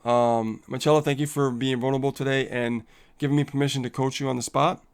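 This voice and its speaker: Gravelly, smooth-voiced motivational man. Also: mid-range voice, fairly even intonation, fast talker. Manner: upbeat, motivational, laughs often, reads viewer comments aloud. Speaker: co-host of a live coaching stream. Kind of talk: casual live-stream chat.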